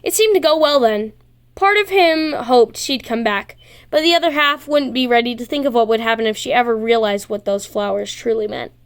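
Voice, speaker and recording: A woman's voice: on the high side, normal speed, smooth. A woman narrating a story as an amateur reader. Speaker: amateur fan fiction narrator.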